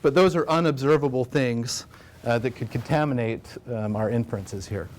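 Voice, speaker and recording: An American man delivering an academic talk at a conference. His delivery is relaxed and unpolished, full of fillers and self-corrections. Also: medium pitch, normal speed. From United States. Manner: relaxed, many fillers and restarts. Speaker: conference presenter. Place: conference room.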